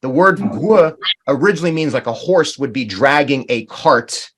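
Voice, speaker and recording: A man's voice: medium pitch, expressive, normal speed, slight background noise. A man teaching a language class over a video call, patiently explaining words and repeating them.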